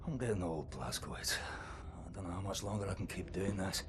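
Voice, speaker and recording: Casting: embittered, weary veteran in a game, middle-aged, sweary, swinging between mutters and outbursts. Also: male voice, deep voice, expressive, moderate pace. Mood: weary regret. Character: bitter, weary, aging male resistance soldier. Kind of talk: dramatic game cutscene acting. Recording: noisy ambience behind the voice.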